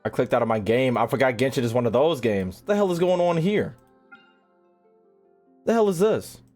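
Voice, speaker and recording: A man's voice: medium pitch, expressive, moderate pace, darkish tone, soft background music. A man chatting casually to his livestream audience while gaming, slangy and profane, with mock exasperation. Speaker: video game streamer talking to chat.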